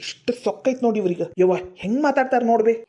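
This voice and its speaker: A woman's voice: a little high, racing, warm tone, natural voice. A woman playing an earnest, protective family man in a drama skit, speaking emphatically with a North Karnataka accent. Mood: indignant.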